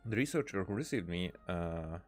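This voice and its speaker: A man with a fairly low voice doing casual let's-play commentary and reading game dialogue aloud. He stumbles along, hesitant and full of "uh"s and restarts. Soft game music plays faintly behind him. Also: expressive, normal speed.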